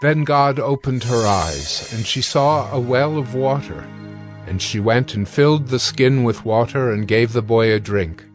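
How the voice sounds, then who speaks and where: A man with a mid-pitched voice reads scripture as a narrator. His delivery is formal and measured, with background music underneath.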